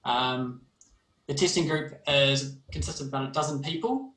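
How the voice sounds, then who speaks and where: A man's voice: mid-range voice, normal speed, pushed and bright. A man from New Zealand with a New Zealand accent, a game developer chatting casually on a livestream and explaining plans with frequent ums. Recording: roomy echo.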